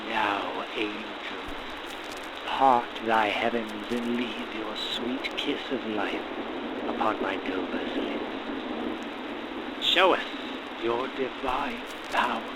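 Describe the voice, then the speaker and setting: A man's voice: lowish voice, drawn-out words, very noisy. A man playing a manic, grandiose mad scientist obsessed with creating life, declaiming theatrically in a gothic horror audio roleplay. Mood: exalted. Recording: loud rain and thunderstorm ambience behind. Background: thunderstorm and rain.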